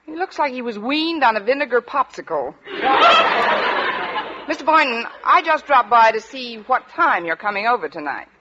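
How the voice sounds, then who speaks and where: An actress playing a sharp-witted, tired high school teacher with a wry, wisecracking manner in a 1940s radio comedy. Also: female voice, very animated, moderate pace. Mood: dry, wry. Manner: wry wisecracks, flustered under pressure. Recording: noisy, muffled 1940s radio broadcast.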